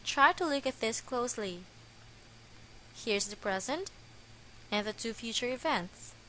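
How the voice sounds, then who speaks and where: Slow, careful woman teaching grammar. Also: a little high, expressive, bright, forceful tone, slight background noise, rough recording. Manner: slow, careful, deliberate delivery. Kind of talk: scripted lesson narration.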